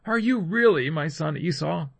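A man's voice: a little high, animated, moderate pace, vocal fry, breathy edge, dry recording, pitched above the performer's own voice, heavily acted. A man voicing an aged, solemn father who declaims to his sons as in a scripture story. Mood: searching, tender.

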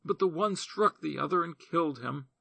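A woman's voice: low-pitched, normal speed, vocal fry, a bit bright, slightly rough, no room sound, voice pushed up, full character voice. A man reading the part of a grieving widow pleading earnestly before a king. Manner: earnest, imploring.

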